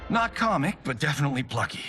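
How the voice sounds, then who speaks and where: An actor playing a cocky, wisecracking movie-star fighter with a flippant manner in a video game. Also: male voice, fairly high pitch, very animated, fast, loud music behind the voice. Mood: amused, flippant. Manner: flippant, jokey.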